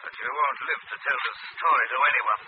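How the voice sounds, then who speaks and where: High male villain, played by an actor, scheming, taunting, excitable, old radio drama, muffled noisy recording. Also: fairly quick, lightly acted. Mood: menacing. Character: scheming murderous male villain impersonating a collector, sneering, cornered. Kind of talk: 1940s radio drama acting.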